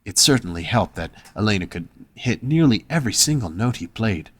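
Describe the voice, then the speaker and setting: A man's voice: fairly low pitch, lively intonation, brisk, a bit bright. A man reading scripted story narration as the narrator of an audio drama.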